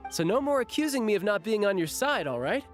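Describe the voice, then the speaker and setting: A man's voice: sits high, very animated, fast talker, soft background music. An actor playing a breezy, friendly young male officer in a video game, easygoing, reassuring and a little teasing. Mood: light, teasing.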